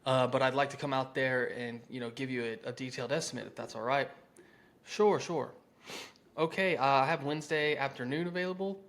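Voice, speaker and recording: A man's voice: medium pitch, steady tone, fairly quick, fairly bright tone. A man with an American accent coaching contractors in an informal, conversational, salesy way, mixing instruction with sample phone-script lines.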